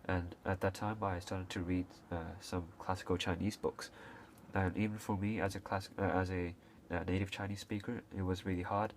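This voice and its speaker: A young man with a deep, low voice speaks to camera in a loose, unscripted way. He stops and restarts often, dropping "uh" between phrases. A faint hiss sits under his voice. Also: little pitch movement, normal speed. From Hong Kong. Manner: hesitant, many fillers and restarts, occasional coughs. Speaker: video creator. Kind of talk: casual unscripted talk to camera.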